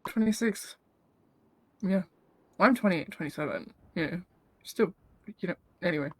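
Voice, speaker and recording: A woman's voice: lowish voice, lively intonation, moderate pace, husky, no echo. A woman roleplaying, chatty and curious, filling her talk with "like" and "you know". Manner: chatty and curious, filler-heavy. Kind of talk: in-character roleplay chat.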